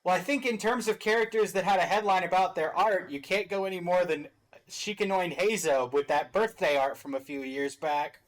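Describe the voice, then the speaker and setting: A man's voice: sits high, normal speed, low-quality recording. A man co-hosting a reaction stream in casual commentary, with a dry, teasing way of talking.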